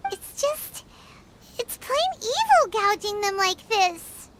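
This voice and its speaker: A woman voicing a tiny floating female companion in a game, blunt, bossy and excitable, who speaks of herself in the third person. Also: extremely high pitch, slow pace, exaggerated voice. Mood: indignant. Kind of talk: video game cutscene dialogue. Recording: game cutscene with loud music behind.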